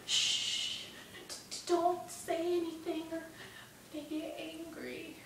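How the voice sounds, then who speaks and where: A woman voicing a shy, fearful young boy, hushed and hesitant, in a dramatic storytelling piece. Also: on the high side, takes it slowly. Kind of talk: dramatic storytelling for speech competition. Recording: echoey room, distant mic, faint noise.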